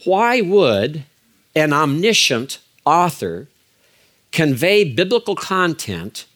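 An older man giving a lecture as a biblical Hebrew teacher, measured and emphatic, with frequent fillers and restarts. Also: fairly high pitch, a bit bright. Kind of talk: recorded lecture.

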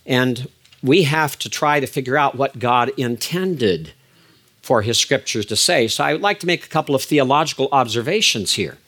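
An older man giving a lecture as a biblical Hebrew teacher, measured and emphatic, with frequent fillers and restarts. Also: medium pitch, expressive, fairly bright tone. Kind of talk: recorded lecture.

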